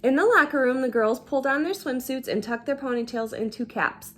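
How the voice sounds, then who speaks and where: A woman storyteller reading a children's book aloud with expressive, deliberate, dramatic phrasing. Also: sits high. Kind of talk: expressive read-aloud narration.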